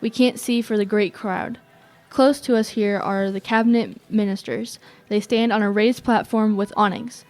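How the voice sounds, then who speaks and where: A woman's voice: fairly high pitch, a little mellow, natural voice. A young woman playing a watchful radio reporter, giving tense eyewitness commentary in short statements for an audio drama. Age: young adult.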